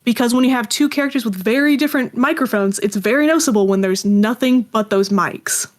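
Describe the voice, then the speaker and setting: Female guest, higher-pitched voice, informal and chatty, rambling conversational delivery. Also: fairly quick. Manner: chatty, animated rambling with frequent "like". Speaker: guest, audio drama creator. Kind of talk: casual interview chat.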